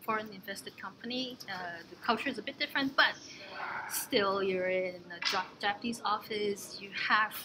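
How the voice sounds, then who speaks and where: A young woman from Canada talks through her answers in a relaxed, unhurried interview, circling back and restarting as she thinks. Her voice sits at an ordinary middle pitch. A steady hiss of room noise runs under the whole recording. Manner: thoughtful, many restarts and fillers, soft trailing asides. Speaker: interviewee, exchange alumna. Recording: noisy room ambience.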